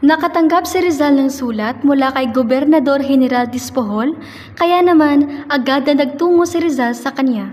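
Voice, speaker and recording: A woman's voice: high voice, moderate pace, a little mellow, lightly acted. A woman with a Filipino accent reading scripted third-person narration for a student radio drama. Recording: reverberant hall, faint background noise.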